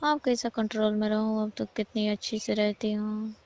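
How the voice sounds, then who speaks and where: Flat-toned woman on a muffled phone call. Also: medium pitch, moderate pace. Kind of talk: casual private phone conversation. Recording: dull lo-fi phone call recording.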